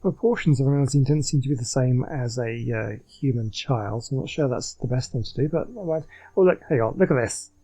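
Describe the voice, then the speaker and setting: Very low, husky woman gamer. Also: fairly quick, few pauses, warm tone, low-quality recording. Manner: musing aloud, reacting to the game. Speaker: gaming streamer. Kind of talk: casual let's play commentary.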